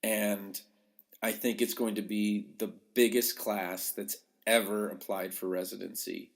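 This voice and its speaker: A man's voice: on the low side, flat delivery, unhurried, vocal fry. A man talking informally as a podcast host and coach, giving advice in a conversational, emphatic way. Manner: conversational, emphatic, acts out short quotes. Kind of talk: informal advice talk to listeners.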